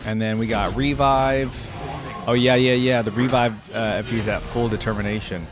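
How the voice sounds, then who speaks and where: A man's voice: mid-range voice, fairly even intonation, normal speed, over music. A man hosting a reaction video, talking in a casual, unscripted, rambling conversational way. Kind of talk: casual, unscripted reaction commentary to camera or screen.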